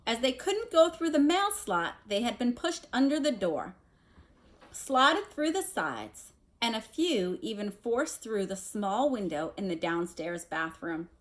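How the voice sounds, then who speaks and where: A woman reads a storybook aloud with a very animated pitch. She sounds like a teacher, slipping from expressive narration into casual explanations.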